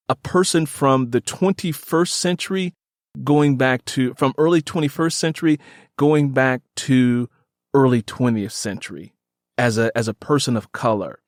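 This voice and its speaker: A man's voice: mid-range voice, no room sound. Man hosting a casual interview, close dry sound. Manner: enthusiastic, repeats phrases for emphasis. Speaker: podcast host interviewing an author.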